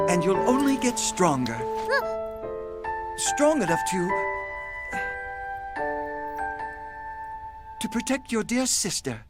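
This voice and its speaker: A noble young warrior in a game cutscene. He speaks slowly and formally in a high, encouraging voice over loud music. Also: heavily acted. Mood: proud, encouraging. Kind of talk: video game cutscene acting.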